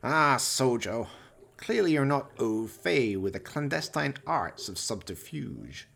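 An actor playing a vain, pompous, scheming mad scientist villain in a radio play, with florid, theatrical, grandiose delivery. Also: male voice, mid-range voice, sing-song, slow, creaky, fairly bright tone, slightly rough, exaggerated voice. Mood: smug, lecturing.

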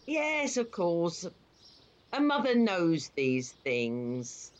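A woman's voice: fairly low pitch, big pitch swings, extremely slow, exaggerated voice. A woman acting a middle-aged British mother in an audio play, loving and accepting but easily exasperated, with an emphatic motherly manner. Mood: reassuring.

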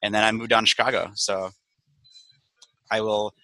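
A man's voice: lowish voice, level tone, moderate pace, bright, forceful tone, no echo, rough recording. A man from Wisconsin who owns a brewery, talking candidly and energetically on a video call, swearing now and then. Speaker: brewery owner. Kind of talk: casual video call chat.

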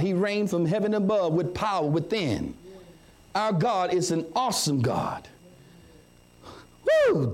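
High-pitched, animated male preacher. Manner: emphatic, repetitive, with call-and-response questions to listeners.

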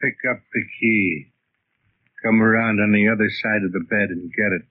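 Male actor as ominous gangster back from the grave, low voice, deliberate delivery, tinny old radio thriller sound. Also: fairly even intonation, close to an everyday voice. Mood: coaxing. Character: menacing big-handed gangster back to haunt his killers. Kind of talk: 1940s radio thriller acting. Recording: muffled tinny vintage radio recording.

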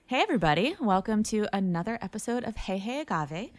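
A woman hosting a podcast interview, asking friendly, measured questions. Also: medium pitch, very animated, dry recording. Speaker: podcast host.

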